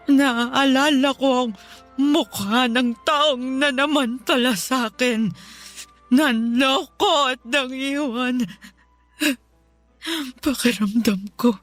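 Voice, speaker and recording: Woman acting as bitter, slow-speaking ailing mother. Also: a little high, a bit bright, no room sound. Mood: resentful. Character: bitter, resentful partly paralysed mother, cruel to her child. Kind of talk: Tagalog radio drama acting. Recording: music bed behind the voice.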